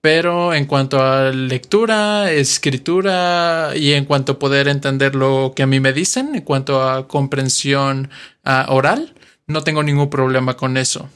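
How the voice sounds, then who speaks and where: Slow, clear young Mexican man. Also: medium pitch, fairly bright tone. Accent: Mexican Spanish. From western Mexico. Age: 20s. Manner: patient, explains words with examples. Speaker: podcast host, Spanish teacher. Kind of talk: slow explanatory podcast monologue.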